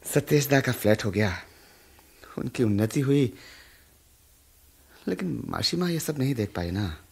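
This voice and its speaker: A man playing a friendly, teasing younger family friend in a Hindi radio drama, with warm, familiar manner. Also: medium pitch, very animated, a little slow, creaky, husky, full character voice. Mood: teasing, affectionate. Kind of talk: radio play acting.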